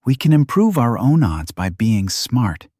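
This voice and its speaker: A man voicing a sensible young woman adventurer in an audiobook, calm, polite and diplomatic. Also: mid-range voice, unhurried, no echo, studio quality. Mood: encouraging.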